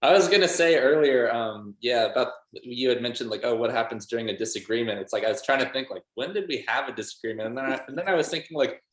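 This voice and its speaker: A man's voice: medium pitch, fast talker, few pauses. A young man chatting informally and jokingly as an interview guest, with roomy echo on his voice. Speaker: band member guest. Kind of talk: casual chat.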